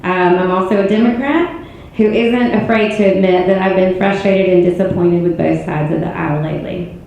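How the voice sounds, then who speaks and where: Hoarse Southern woman in an echoey hall. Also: on the low side, moderate pace, darkish tone, slight background noise. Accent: Southern American. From Georgia, US. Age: 40s. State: hoarse, strained voice. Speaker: political candidate. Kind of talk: campaign speech at a forum. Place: city auditorium. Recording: echoey hall, muffled sound.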